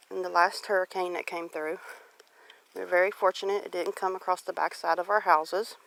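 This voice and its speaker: A woman's voice: on the low side, a bit bright. A woman in her forties with a Southern US accent, chatting casually and unhurriedly as she walks her rural property outdoors with a handheld camera. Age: 40s. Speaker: homestead vlogger. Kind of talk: casual walk-and-talk narration. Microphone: handheld camera or phone while walking. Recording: noisy outdoor handheld recording with clicks.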